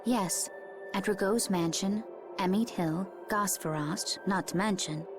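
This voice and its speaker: A composed, knowledgeable video game woman played by an actress lays out grave history. Her delivery is measured and technical, with music clearly playing behind her. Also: mid-range voice, natural voice. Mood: grave, explanatory. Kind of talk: scripted video game acting.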